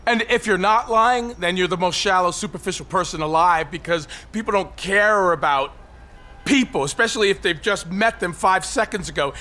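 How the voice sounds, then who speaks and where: An actor playing a bitter, paranoid loner on a high ledge in a naturalistic audio drama, speaking haltingly with defiant, cynical, profane edges. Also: male voice, bright, forceful tone.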